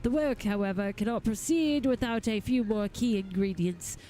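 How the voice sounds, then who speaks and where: A woman's voice: medium pitch, moderate pace, warm tone, natural voice. A woman voicing a genteel, theatrical goldsmith lord from a fantasy game, with formal, flowery and precise diction. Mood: grandiose. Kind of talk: reading fantasy game dialogue aloud.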